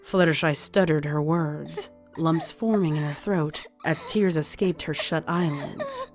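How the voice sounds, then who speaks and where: Low woman voice narrating creepy story, scripted n dramatic, music behind. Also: sing-song, a little slow. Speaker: narrator of a horror fan fiction. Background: music under the voice.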